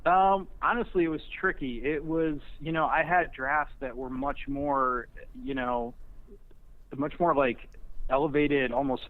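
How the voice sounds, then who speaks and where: A male screenwriter guest giving casual interview answers over a phone, halting and unassuming. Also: on the high side, fairly even intonation. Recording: tinny phone-like remote line.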